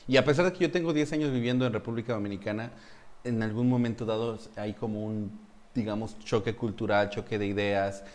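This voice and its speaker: A middle-aged man with a Mexican accent hosts a relaxed online chat. His voice sits on the low side, and he talks in a chatty, teasing way, often pausing with fillers. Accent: Mexican Spanish. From Mexico City. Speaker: host. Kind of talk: casual live-stream chat.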